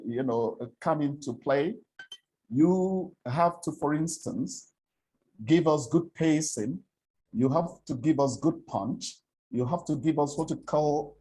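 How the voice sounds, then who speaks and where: A man from Nigeria with an Igbo accent, lecturing on English speech for presenters in a deliberate way, repeating words and giving examples. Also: mid-range voice, dark tone, rough recording. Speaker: presentation coach. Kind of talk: spoken lecture on English diction.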